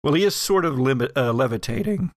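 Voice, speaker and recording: A man narrating a tabletop role-playing game as game master, in a deliberate, wry, conversational way. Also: mid-range voice, animated, no echo, clean studio sound.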